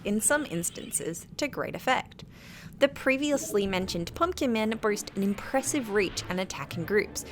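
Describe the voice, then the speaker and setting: British woman narrating, slightly creaky voice. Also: medium pitch, fairly bright tone. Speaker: video essay narrator. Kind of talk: scripted game review narration.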